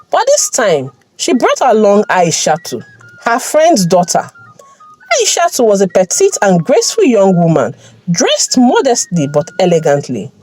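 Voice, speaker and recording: A woman's voice: medium pitch, a little mellow, low-quality recording. A woman storyteller reading a scripted folktale narration.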